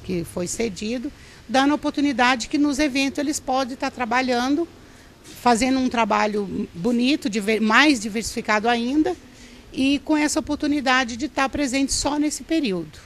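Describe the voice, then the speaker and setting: A woman's voice: sits high, moderate pace. Rambling middle-aged woman, fair background hum. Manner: rambling, many restarts and fillers. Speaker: craft association organizer. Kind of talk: TV news interview. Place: indoor craft fair hall. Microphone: handheld reporter mic.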